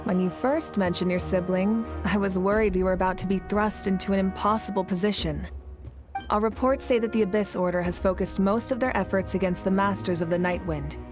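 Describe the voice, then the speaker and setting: A woman's voice: medium pitch, fairly even intonation, brisk, natural voice. Woman acting an assured leader over music. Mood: frank. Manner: assured, frank. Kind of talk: video game character acting. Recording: music under the dialogue.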